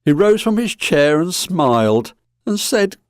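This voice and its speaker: A man's voice: on the high side, sing-song, measured pace, dry recording, studio quality. A man narrating a scripted story for an audio drama.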